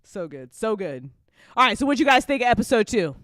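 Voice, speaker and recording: A woman's voice: a little high, big pitch swings, brisk, fairly bright tone, no room sound, studio quality. A woman hosting a casual after-show chat, talking in an emphatic, gushing, sweary way. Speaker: host and audio drama writer.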